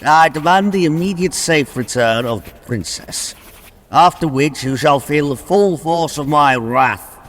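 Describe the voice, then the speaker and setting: A man's voice: fairly high pitch, unhurried, a bit bright. A man playing an imperious, petulant king in a comic radio play, pompous and indignant. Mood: pompous dictation. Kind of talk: radio comedy drama acting. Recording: long reverberant hall.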